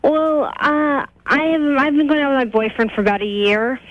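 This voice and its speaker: A woman's voice: high voice, normal speed, highs cut off. A woman in her twenties with a young, girlish voice, talking nervously and hesitantly as a caller on a radio phone-in. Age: 20s. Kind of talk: personal call-in conversation.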